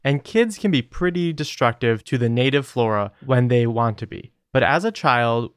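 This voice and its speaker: A man sitting in as a monthly podcast guest, reading a listener's email and breaking in with wry jokes. His voice is at a middling pitch for a man. The recording is dry and close. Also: animated, moderate pace, smooth, no echo. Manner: wry, joking asides. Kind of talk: casual chat, reading listener mail.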